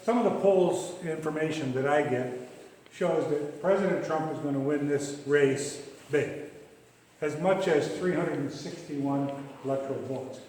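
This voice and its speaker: A man's voice: fairly high pitch, unhurried, a little mellow, recorded from a distance, a little hiss or hum. Emphatic man in an echoey hall. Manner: emphatic, insistent, repeats points. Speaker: campaign speaker. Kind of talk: political speech at a club meeting. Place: meeting room.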